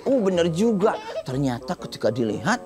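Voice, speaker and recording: A man's voice: a little high, big pitch swings, normal speed. A middle-aged man tells stories to young children, playfully putting questions to them as host. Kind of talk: animated storytelling to young children. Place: TV studio set with seated children. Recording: music behind the voice.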